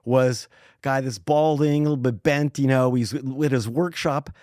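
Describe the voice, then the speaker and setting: A man's voice: mid-range voice, steady tone, normal speed, a little mellow, dry recording. Thoughtful man in his sixties, dry sound. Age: 60s. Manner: thoughtful, rambling with restarts and "you know". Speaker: guest author. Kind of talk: casual podcast interview.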